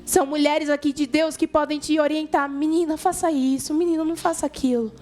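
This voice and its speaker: A woman's voice: high-pitched, fairly even intonation, fairly quick, darkish tone, soft background music. A woman with a Brazilian accent preaching informally to young people, telling stories in colloquial language and speaking directly to her listeners. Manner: colloquial, conversational, addresses listeners directly, acts out dialogue. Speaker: youth church preacher. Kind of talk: informal youth sermon with storytelling.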